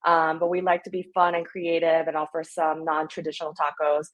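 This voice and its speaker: A woman's voice: low voice, monotone, fairly quick. A woman in her thirties, born in Korea, talking upbeat and enthusiastic as a restaurant-owner guest in a casual podcast interview.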